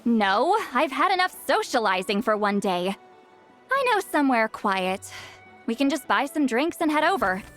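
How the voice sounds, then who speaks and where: A haughty, prickly female shaman from a video game, ancient but young-sounding. Her voice is high and very lively in pitch, huffy in delivery, with faint music behind it. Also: normal speed. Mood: aloof, evasive. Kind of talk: video game character dialogue.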